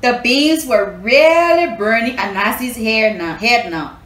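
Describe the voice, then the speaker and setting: A woman's voice: a little high, lively intonation, unhurried, fairly bright tone. A woman hosting a children's storytelling session, teacherly, repeating herself and putting questions to her listeners. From Liberia. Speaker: storytelling host reading to children. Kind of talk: animated children's story reading and lesson. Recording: roomy echo, clear background noise.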